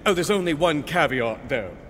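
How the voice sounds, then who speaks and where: An exasperated cosmic lightning being, acted by a man, with a high voice that swoops theatrically. He lectures with impatient sarcasm in a comic sci-fi radio play, drenched in long reverb. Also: animated, normal speed, a bit bright. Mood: relieved, lecturing. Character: exasperated talking lightning, an otherworldly life force. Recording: long reverb, faint background noise.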